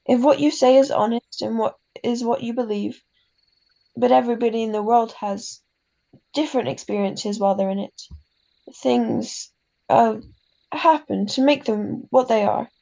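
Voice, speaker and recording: A woman playing an ailing, gentle, wise mother in a radio drama, speaking tenderly and haltingly. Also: a little high, creaky, dull audio. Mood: gently reflective. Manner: tender, halting, philosophical, tags sentences with huh. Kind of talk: classic radio drama acting.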